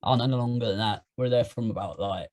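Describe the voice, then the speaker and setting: A man's voice: on the low side, quick pace. Chatty English man, easygoing. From England. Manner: rambling, animated storytelling. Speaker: podcast guest, airsofter. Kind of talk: casual chat.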